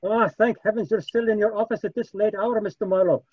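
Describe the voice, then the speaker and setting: A man's voice: high-pitched, fairly even intonation, a little fast, breathy edge, no echo, dull audio. A man playing a frantic Scotsman with a Scottish accent, urgently pleading for help in an old-time radio detective drama. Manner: urgent, agitated.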